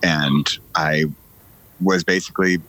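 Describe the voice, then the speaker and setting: Middle aged guy chatting on a podcast, pretty deep voice, jokey storyteller, dry recording. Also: steady tone, slow, bright, forceful tone, dry recording. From United States. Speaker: podcast guest, writer. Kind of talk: casual conversational storytelling.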